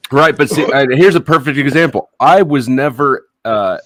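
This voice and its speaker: A man's voice: mid-range voice, normal speed, a bit bright, no echo. A man in his thirties on an online voice chat panel, talking bluntly and making crude jokes. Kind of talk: casual group chat.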